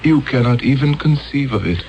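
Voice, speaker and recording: A man's voice: medium pitch, expressive, close to an everyday voice, low-quality recording. An actor playing a grave male elder of a peaceful alien world in a 1970s radio drama. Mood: solemn. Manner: solemn, measured.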